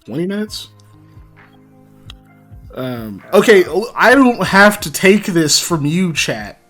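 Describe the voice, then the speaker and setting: A man's voice: sits high, sing-song, takes it slowly. Male co-host of a casual stream, laughs a lot, swears, pokes fun at himself, faint music underneath. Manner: laughing, swearing, self-deprecating. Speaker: podcast co-host reading chat. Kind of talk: casual livestream chat.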